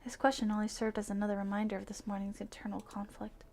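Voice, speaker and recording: A woman livestreaming visual novels, switching between excitable gushing reactions and expressive read-aloud narration. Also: medium pitch, fast talker, gravelly. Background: game music.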